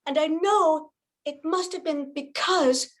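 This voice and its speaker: Young widow, anxious and tender, played by an older woman, high voice, vintage radio-drama delivery. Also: takes it slowly. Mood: wistful. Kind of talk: old-time radio drama acting.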